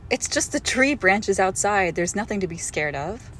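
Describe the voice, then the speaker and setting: A woman playing a doting, possessive girlfriend who is secretly a monster, in an intimate, hushed roleplay audio drama. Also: mid-range voice, expressive, a little hiss or hum, close to an everyday voice. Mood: soothing. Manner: slow, hushed, tender, often dropping to a whisper.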